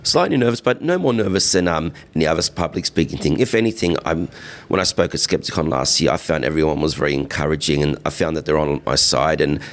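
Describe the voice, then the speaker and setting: A man's voice: rumbling low, animated, quick pace. A man speaking as an interviewed guest, casual and earnest, in a pub.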